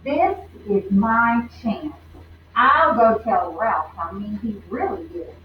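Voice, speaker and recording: Woman reading as an earnest young schoolgirl, roomy sound. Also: takes it slowly. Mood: indignant. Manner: emphatic, pleading. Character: earnest, wounded but plucky young schoolgirl. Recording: roomy, distant, dull low-fi with faint noise.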